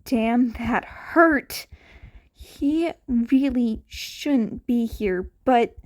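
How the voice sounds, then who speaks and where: A woman reading fan fiction aloud as a scripted narration, deliberate, with the odd small stumble over a word. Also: fairly high pitch, a little mellow. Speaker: podfic narrator.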